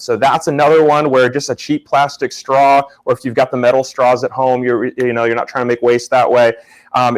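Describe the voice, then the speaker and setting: A young man from Florida with a loud voice, teaching a casual live photography workshop and talking to viewers in the chat. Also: medium pitch, low-quality recording. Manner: casual, upbeat, talks to chat. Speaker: photography instructor.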